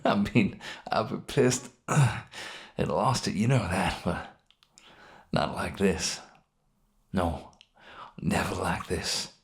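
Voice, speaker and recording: A man playing a wounded, guilt-ridden criminal in a narrated story, halting and pained, with a gravelly, emotion-strained voice. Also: deep voice, expressive, exaggerated voice. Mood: rueful, dazed. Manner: halting, rueful, with sighs and bitter weak laughs. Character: wounded, guilt-ridden criminal mourning his dead brother. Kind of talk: dramatic monologue in a narrated story.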